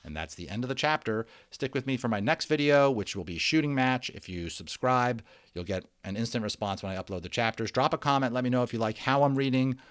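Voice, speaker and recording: A man reading a children's novel aloud in an expressive, theatrical storytelling style. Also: medium pitch, a little fast, hardly any pauses, dry recording.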